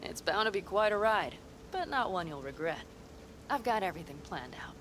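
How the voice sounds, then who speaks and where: A woman's voice: mid-range voice, sing-song, fairly quick, a bit bright, a little hiss or hum. An actress voicing a blunt, self-assured female desert nomad in a video game who lays out plans in a dry, matter-of-fact way. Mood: calm, assured. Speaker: game character.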